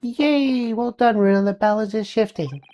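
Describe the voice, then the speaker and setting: A woman voicing an eager, excitable young woman in a game, with a chirpy manner. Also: fairly high pitch, moderate pace, muffled recording, voice pushed up, natural voice. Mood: delighted.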